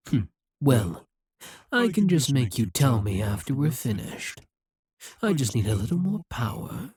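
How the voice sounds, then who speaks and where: An actor voicing a scheming, domineering demon monarch in a dramatic ASMR roleplay, speaking in a menacing, deliberate, taunting way. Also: mid-range voice, darkish tone, slightly rough, no echo, clean studio sound, exaggerated voice. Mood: puzzled, irritated. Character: domineering demon monarch, scheming, smug, coldly seductive captor.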